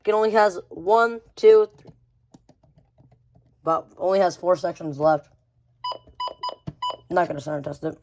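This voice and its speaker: A woman's voice: on the low side, measured pace, long pauses, darkish tone, slight background noise. A woman giving a casual, unscripted show-and-tell of a collection, rambling and often repeating phrases. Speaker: radio collector showing a collection.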